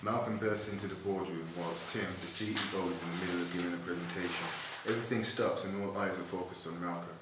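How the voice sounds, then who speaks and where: A man's voice: low voice, steady tone, quick pace, few pauses. A man reading out screen directions as scripted narration at a table read. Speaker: reader of stage directions. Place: echoey indoor room. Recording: very echoey room, clear background noise.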